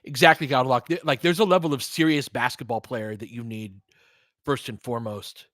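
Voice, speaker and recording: A man's voice: mid-range voice, fairly even intonation, fairly quick, a bit bright, no room sound, clean studio sound. A man doing casual basketball play-by-play as a stream host, in clipped phrases with chatty asides to listeners.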